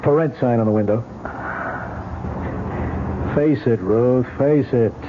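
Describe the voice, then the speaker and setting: A man's voice: mid-range voice, slow pace, lightly acted. Man playing blunt police lieutenant, tinny radio. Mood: firm, reasoning. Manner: blunt, reasoning, firm. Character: skeptical, matter-of-fact police lieutenant. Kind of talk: old-time radio mystery acting. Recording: noisy, tinny old radio broadcast.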